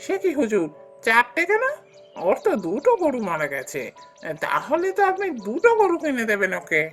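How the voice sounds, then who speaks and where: A woman's voice: on the high side, huge pitch swings, moderate pace, faint music behind. A woman voicing a devoted male servant in a cartoon fairy tale, fawning and eager toward his master. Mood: eager, surprised.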